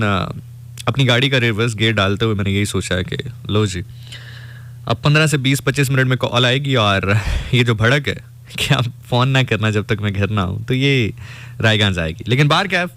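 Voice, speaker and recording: A man's voice: medium pitch, a bit bright. A man with a Pakistani accent, a radio host telling a story conversationally and reflectively, dropping in English phrases.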